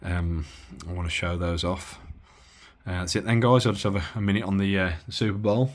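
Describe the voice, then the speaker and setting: Deep-voiced man, casual hobby demo, halting delivery, faint hiss. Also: fairly even intonation. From Midlands of England. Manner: halting, many fillers and restarts. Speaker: radio hobby vlogger.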